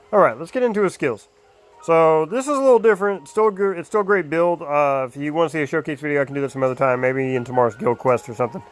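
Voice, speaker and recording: A man casually talking through a mobile game character build, rambling with lots of ums and uhs, his voice a little hoarse. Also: mid-range voice. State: slightly hoarse, losing his voice. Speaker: mobile game guide creator. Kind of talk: casual game guide commentary.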